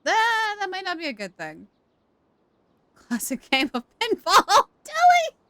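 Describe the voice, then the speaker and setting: A woman chatting casually on a game livestream, reacting in an animated, exclamatory way. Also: very high-pitched, measured pace, no echo. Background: game music.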